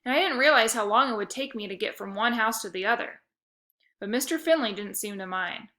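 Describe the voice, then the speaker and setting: Woman reader for a kids' novel; bright, slightly high voice, lively storytelling. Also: fairly quick. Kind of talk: reading a children's novel aloud.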